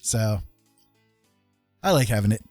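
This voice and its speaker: A man's voice: on the low side, animated, slow, a little mellow, no room sound, faint music behind. A man hosting a casual livestream, chatting in a jokey, rambling way. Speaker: livestream host and artist.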